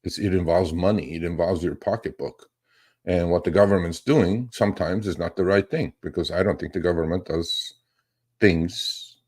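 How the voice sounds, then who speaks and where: Deep-voiced man rambling on a livestream. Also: a little mellow. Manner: rambling, emphatic, often checks with "okay?" and "right?". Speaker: live stream host, investing educator and trader. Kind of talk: casual unscripted livestream talk.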